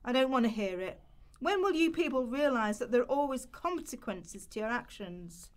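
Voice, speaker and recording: A woman's voice: on the high side, animated, moderate pace, lightly acted. Woman acting a stern, officious benefits clerk. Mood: scolding. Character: cold, scolding female benefits office official. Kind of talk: amateur radio play rehearsal.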